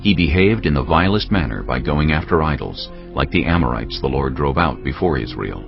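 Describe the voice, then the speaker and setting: Extremely deep, gravelly male narrator. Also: lively intonation, fairly quick, muffled recording, music in the background. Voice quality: gravelly, husky. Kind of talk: dramatic scripted scripture narration.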